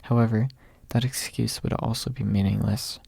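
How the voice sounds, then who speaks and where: A man's voice: lowish voice, level tone, normal speed, gravelly, a little mellow, slightly rough, no echo. A man reading a story aloud as an ASMR audiobook narrator, close to the microphone.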